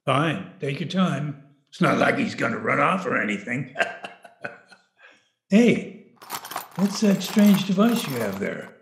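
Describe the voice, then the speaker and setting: An actor playing an easygoing, rambling hospital doctor in a comedic radio play, in an echoing space. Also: male voice, on the high side, very animated, slow, creaky, pauses often, fairly bright tone, full character voice. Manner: rambling, casual, jokey. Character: easygoing, chatty male hospital morgue doctor. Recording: roomy, echoing studio scene.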